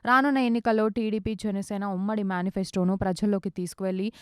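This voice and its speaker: A woman's voice: medium pitch, steady tone, fast talker, smooth, no echo, clean studio sound. A woman reading scripted news as a news reader.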